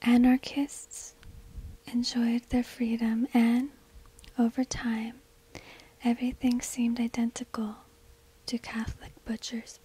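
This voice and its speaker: Asmr lady whispering real slow and flat, super breathy, close on the mic. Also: a little high, poor audio. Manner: slow, deliberate, hushed delivery. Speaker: ASMR video host and shopkeeper. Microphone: close binaural microphone.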